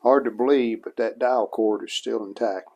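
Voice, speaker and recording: A man giving casual hands-on narration of a repair as a hobbyist restorer, plain-spoken and unhurried, thinking aloud as he works. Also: mid-range voice, steady tone, gravelly, a little mellow, dull audio.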